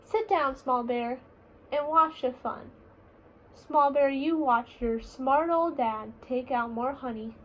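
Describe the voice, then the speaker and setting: A woman's voice: high-pitched, slow, lo-fi sound. A woman voicing a confident, boastful father bear from a children's storybook in sing-song rhyme. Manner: sing-song rhyming, self-assured.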